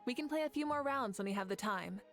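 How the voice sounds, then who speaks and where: Confident game heroine, slightly high. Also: female voice, lively intonation, quick pace, faint music behind. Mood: appreciative. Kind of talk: video game voice acting.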